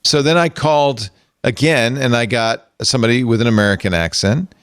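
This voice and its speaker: An older man hosting a casual panel chat from a home studio, animated, chuckling, with quick asides. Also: medium pitch, smooth, dry recording. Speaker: host. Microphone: studio mic on arm.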